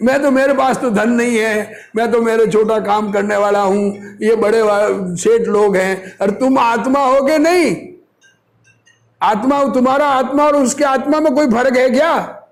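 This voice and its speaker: A man's voice: very high-pitched, fairly even intonation, a little slow. A man giving an informal sermon, reading from a text and explaining it didactically, repeating phrases and posing rhetorical questions. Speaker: religious teacher reading and explaining a discourse.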